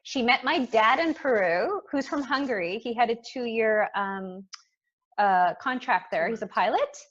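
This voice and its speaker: A Canadian woman hosting a podcast in a casual, excitable, slangy way, on slightly dull audio. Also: fairly high pitch, unhurried. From Montreal, Canada. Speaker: podcast host. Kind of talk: casual chatty podcast interview.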